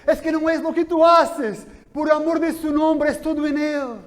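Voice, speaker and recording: A man preaching a sermon in a church, his coming through his Spanish, swinging between quiet explanation and loud, fervent passages. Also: very high voice, fairly even intonation, moderate pace. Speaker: pastor. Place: church hall.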